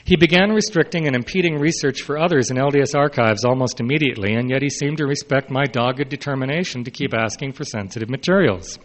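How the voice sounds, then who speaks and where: Guy, older-ish historian reading his talk out loud, mid pitch, measured, little asides here and there. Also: low-quality recording. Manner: measured, announces quote and unquote, brief unscripted asides. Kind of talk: reading a prepared autobiographical speech aloud.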